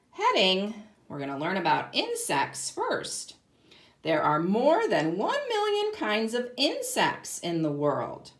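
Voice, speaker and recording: A woman speaks as a kindergarten teacher reading aloud to young children. Her pitch swings widely and expressively while her pace stays slow. She phrases things simply and deliberately, stressing key words and putting questions to the listener. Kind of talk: read-aloud lesson for young children, explaining and asking questions.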